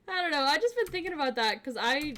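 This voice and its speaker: A young woman streamer chatting casually with her viewers, bubbly and giggly, with lots of fillers, switching between quiet asides and excited greetings. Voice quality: fairly deep voice for a woman. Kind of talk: casual live-stream chat.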